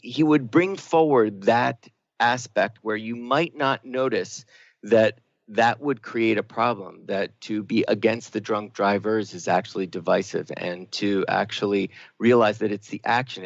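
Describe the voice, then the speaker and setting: A man with a lowish voice hosts a spiritual talk radio program. The audio is muffled and lo-fi, with a dry, close sound. He talks in an earnest, musing way and affirms his co-host often. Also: gravelly, no echo. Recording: dull lo-fi studio sound, dry.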